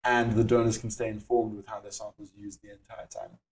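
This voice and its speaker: A young man with a South African accent, a startup founder explaining his work in a podcast interview, measured and full of fillers. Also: lowish voice, little pitch movement, darkish tone, rough recording.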